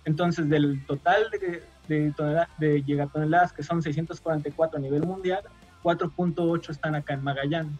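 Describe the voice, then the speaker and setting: A man from Mexico, a guest scientist on a radio show, explaining patiently with frequent pauses and fillers. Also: on the high side, steady tone, a little mellow, highs cut off, faint music behind.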